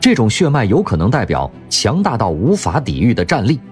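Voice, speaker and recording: Lively male audio drama narrator. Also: mid-range voice, brisk, faint music behind. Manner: expressive storytelling.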